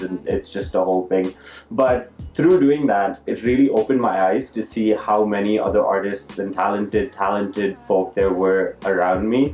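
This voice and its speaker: A man's voice: fairly low pitch, a little mellow. A young man from Dubai telling his personal story as an art vlogger, casual and informal, often correcting himself mid-sentence. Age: young adult. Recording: roomy echo, music behind.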